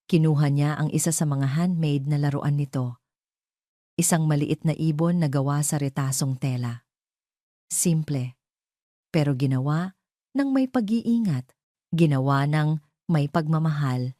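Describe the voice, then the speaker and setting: Filipino lady reading a dramatic audio story, lowish voice, mellow, super dry recording. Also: unhurried, long pauses, no echo. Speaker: story narrator.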